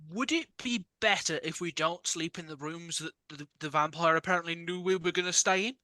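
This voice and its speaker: A man's voice: on the high side, brisk, pushed and bright, no room sound. A man from the English Midlands chatting casually as a player in a tabletop roleplaying game.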